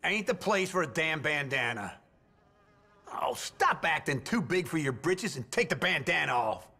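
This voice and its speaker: An actor playing a folksy, cranky old layabout cowboy with an American Southern accent in a video game. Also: male voice, a little high, sing-song, normal speed, sharp tone, husky, full character voice. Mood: scolding. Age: older. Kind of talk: video game character greetings.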